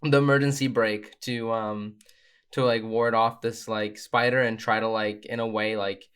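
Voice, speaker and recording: A man's voice: on the low side, flat delivery, normal speed, fairly bright tone, clear, resonant tone. A man playing a tabletop role-playing game, casually describing his character's actions in tentative, hedging phrases.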